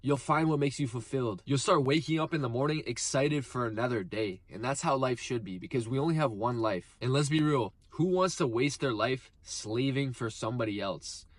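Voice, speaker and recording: A man's voice: mid-range voice, a little fast, hardly any pauses. A young man of twenty delivers motivational advice straight to the viewer in an earnest, emphatic way, driving his points home by repeating phrases. His voice sits in a middle range for a man. The sound is dry and close, with no room echo around it. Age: 20s. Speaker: motivational vlogger. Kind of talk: motivational talk to camera.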